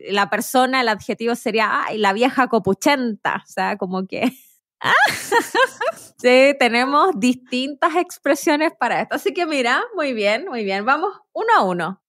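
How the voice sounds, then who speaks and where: Brief: chilean woman podcast host, high bright pitch, dry close sound, playful and chatty. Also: a little slow, dry recording. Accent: Chilean Spanish. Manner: animated, laughs often, playful quizzing. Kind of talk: casual conversation with a guest.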